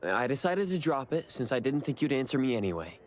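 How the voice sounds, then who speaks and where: A man's voice: medium pitch, sing-song, fairly quick, natural voice. A man playing an earnest, reserved young man in an anime game, speaking sincerely and with understatement. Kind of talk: anime game voice acting, conversational.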